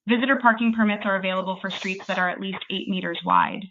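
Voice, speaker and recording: A woman's voice: mid-range voice, quick pace, a bit bright. A woman's synthetic assistant voice on a phone line, giving polite, formal, scripted customer service answers without any hesitation. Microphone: phone call. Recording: tinny phone line with slight noise.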